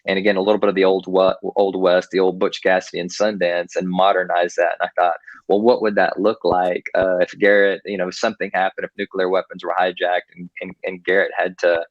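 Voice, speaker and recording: Male author guest from Texas, rambling chat, low voice, lo-fi recording. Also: level tone, brisk, no room sound. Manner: rambling, many restarts and fillers. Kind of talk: casual interview talk. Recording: dull lo-fi, dry.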